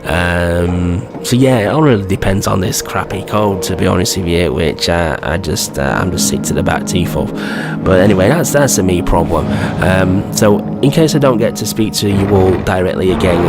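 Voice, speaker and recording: A man with a low, croaky voice, hoarse from a cold, rambling through opinionated vlog commentary. He keeps asking whether you know what he means, and music plays clearly behind him. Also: normal speed. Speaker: gaming video creator.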